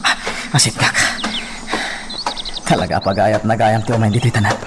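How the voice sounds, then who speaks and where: A man with an Ilocano accent playing a playful, romantic young gentleman from a landowning family in a radio drama. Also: fairly low pitch, fairly even intonation, moderate pace, heavy background noise, close to an everyday voice. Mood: admiring, relaxed.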